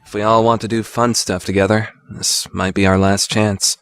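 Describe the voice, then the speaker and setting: Man playing a low-key teenage schoolboy, dry sound. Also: little pitch movement, normal speed, a bit bright, smooth, no room sound, faint music behind. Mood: casual. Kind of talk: anime-style fan dub radio play acting.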